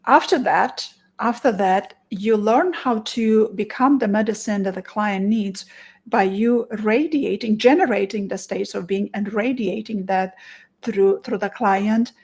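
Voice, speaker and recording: A woman from Romania with a Romanian accent, a therapist giving an earnest promotional talk, with many restarts and stretched pauses. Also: medium pitch, a little mellow, slightly rough. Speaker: therapist and conference presenter.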